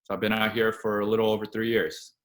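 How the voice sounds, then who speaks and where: A man in his late twenties, a guest actor, telling his story in a relaxed, steady way with a few fillers. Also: on the low side, fast talker, roomy sound. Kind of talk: casual interview chat.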